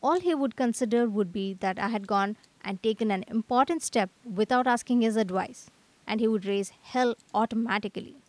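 A woman's voice: fairly high pitch, moderate pace, a little mellow, no room sound, lightly acted. A woman playing an anxious, hesitant young male painter in a radio comedy. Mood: worried. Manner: hesitant, fretful, period slang.

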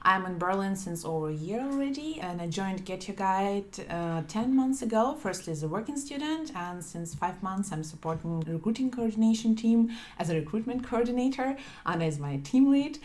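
A woman's voice: medium pitch, animated, moderate pace, few pauses. A young woman from Ukraine, a recruitment coordinator speaking carefully about her job.

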